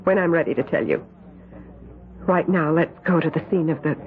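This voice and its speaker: An actress playing a cool, sharp-tongued woman who speaks in a deliberate, pointed way, in a radio drama. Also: low voice, natural voice. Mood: cold. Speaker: the wife's sister. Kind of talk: 1970s radio drama acting. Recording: tinny old radio broadcast, faint noise.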